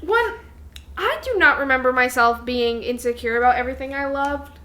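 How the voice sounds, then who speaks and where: A young adult woman co-hosting a podcast in casual chat with a friend, with an animated, exclamatory, candid way of talking and casual swearing. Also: high-pitched, normal speed. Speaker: podcast co-host.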